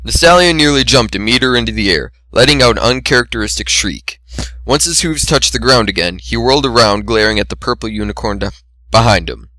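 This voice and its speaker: A man's voice: mid-range voice, animated, brisk, pushed and bright, no room sound, poor audio. A man narrating a story as an amateur dramatic reading of fan fiction.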